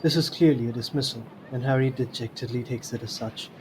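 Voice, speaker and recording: A man's voice: mid-range voice, a little fast. A man reading scripted narration for an audio drama. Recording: slightly noisy home mic.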